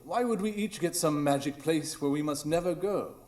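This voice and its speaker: A man's voice: a little high, normal speed, darkish tone, close to an everyday voice. A man playing an inquisitive, determined detective in a live radio drama. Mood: puzzled, intent. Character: Sherlock Holmes, inquisitive, reasoning, determined detective. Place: improv theatre stage, live audience.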